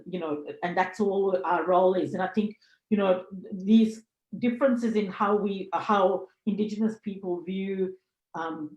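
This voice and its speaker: A woman from Sri Lanka moderating a panel discussion, speaking earnestly with many pauses and fillers. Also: lowish voice, a little mellow, highs cut off. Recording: roomy echo.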